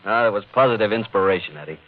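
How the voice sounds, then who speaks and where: A man's voice: lowish voice, racing, lightly acted. Charming, easygoing moneyed holidaymaker for vintage radio drama, male actor, friendly patter, dull period recording. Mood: hearty, friendly. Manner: genial, easygoing, chummy. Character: charming, relaxed, hearty vacationing businessman. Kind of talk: 1950s radio drama acting. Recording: muffled 1950 radio recording.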